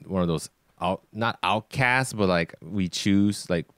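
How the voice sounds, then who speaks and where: A man in his twenties from Japan, co-hosting a casual podcast in a relaxed, hesitant way with many fillers. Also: low-pitched, measured pace, clear, resonant tone, no echo, studio quality. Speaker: sound engineer filling in as co-host.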